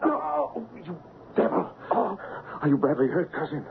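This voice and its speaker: A man's voice: a little high, sing-song, slow, creaky, slight background noise, heavily acted. A man playing a brave, resolute swordsman hero who tells his adventure in an earnest, dramatic radio drama style, on an old narrow-band recording. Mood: tense, defiant. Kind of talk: radio drama acting with first-person narration.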